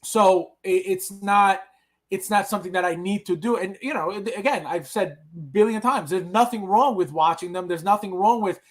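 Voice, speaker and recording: High-voiced Colombian-born podcast host. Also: male voice, normal speed. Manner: rambling, acts out imagined remarks from others. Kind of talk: casual podcast chat.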